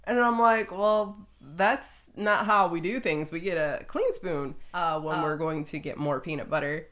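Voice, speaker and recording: Woman, middle-aged, slightly low pitch, podcast co-host, casual chat, matter-of-fact. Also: measured pace, fairly bright tone.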